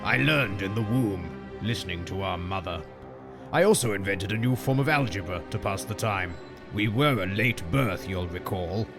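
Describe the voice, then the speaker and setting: A sinister old mastermind acted out by a man speaks in a low voice over loud music. He is dry, sarcastic and measured, full of contempt for fools in this theatrical comic dub. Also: expressive. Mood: cold menace. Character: sinister, exasperated, scheming old long-lost brother, contemptuous mastermind. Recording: music behind the voice.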